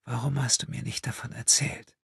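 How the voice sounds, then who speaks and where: A man voicing a troubled, compassionate young woman in an audiobook, hushed, breathy and hesitant as she gently probes. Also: medium pitch, little pitch movement, moderate pace, no room sound, studio quality, pitched above the performer's own voice. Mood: gentle, compassionate.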